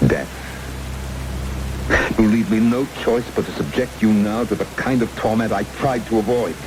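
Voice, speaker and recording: A very low, suave villain, acted by a man in an old radio drama. He menaces his captives with deliberate, gloating politeness. The old broadcast sits under loud, rain-like hiss. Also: steady tone, lightly acted. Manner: mock-courteous, deliberate, gloating. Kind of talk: vintage radio drama acting.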